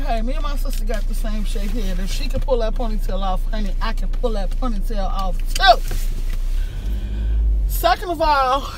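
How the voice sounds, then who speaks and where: A slow-talking woman with a fairly high voice chats casually to her phone from the car on the way to work. She laughs a lot and sometimes drops into a deeper voice to joke. Traffic and engine noise run clearly behind her. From Chicago, United States. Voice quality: naturally deep-toned for a woman. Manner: chatty, laughs often, drops into a deep voice for fun. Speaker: fashion vlogger. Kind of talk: casual car vlog chat. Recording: noisy car interior. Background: car and street noise.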